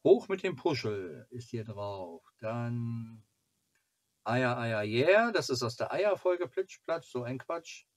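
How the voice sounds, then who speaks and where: Guy in his 40s doing a casual fan vlog at his desk, medium pitch, kinda halting, thinks out loud. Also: sing-song. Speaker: fan club video host. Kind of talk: casual chat reviewing fan merchandise. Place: small cluttered home room, indoors.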